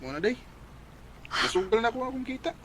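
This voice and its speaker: A man's voice: extremely high pitch, very animated, fairly quick, fairly bright tone, full character voice. A man playing an irresponsible, sheepish gambling husband who drives a tricycle, in a Filipino radio drama with a Cebuano accent.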